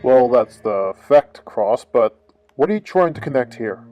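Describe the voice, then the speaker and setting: A man's voice: mid-range voice, very animated, measured pace, dull audio, soft background music. A man playing a dutiful but sceptical junior police officer in an amateur audio drama.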